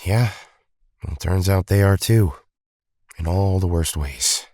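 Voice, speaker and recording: A man's voice: sits low, slow pace, warm tone, no echo, clean studio sound, heavily acted. A man playing an earnest, troubled young superhero team leader in an audio drama, questioning and conscientious.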